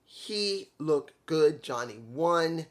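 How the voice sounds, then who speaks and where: A man's voice: on the high side, expressive, very slow, a bit bright. A congested man giving a casual, blunt, opinionated solo review of a wrestling show, rambling with restarts.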